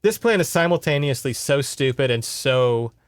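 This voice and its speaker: A man's voice: mid-range voice, expressive, moderate pace, no room sound, clean studio sound. A man hosting a podcast, casually recapping a story with sarcastic, exasperated, sweary asides. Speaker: podcast host. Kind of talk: casual recap of a horror novel.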